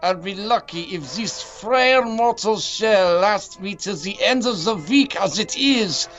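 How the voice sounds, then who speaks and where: A man's voice: sits high, lively intonation, unhurried, a bit bright, highs cut off, faint music behind. A man playing a bitter, grandiose audio drama villain, an evil brain housed in a frail, decaying body. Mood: bitter, sneering. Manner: theatrical, bitter, grandiose ranting. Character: villainous Hitler brain inside a rotting, frail stolen body.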